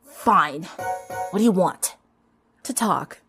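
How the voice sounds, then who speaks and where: A woman's voice: lowish voice, animated, slow pace. A woman voicing a grumpy, secretive teenage girl with a sullen, curt, muttering manner in a story reading. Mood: grudging. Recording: music under the voice.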